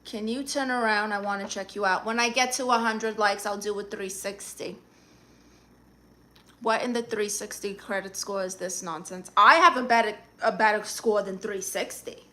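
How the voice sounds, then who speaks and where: A woman's voice: mid-range voice, steady tone, normal speed, pushed and bright. A woman from Brooklyn, chatting casually on a live stream, greeting viewers and firing back sassy, playful comebacks to their comments. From Brooklyn, New York. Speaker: livestreamer.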